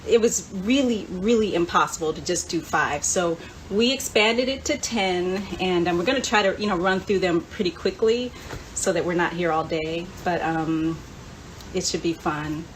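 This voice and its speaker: A woman's voice: fairly low pitch, normal speed, low-quality recording. A woman hosting a casual livestream chat in an enthusiastic, chatty way. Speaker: host. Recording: clear background room noise.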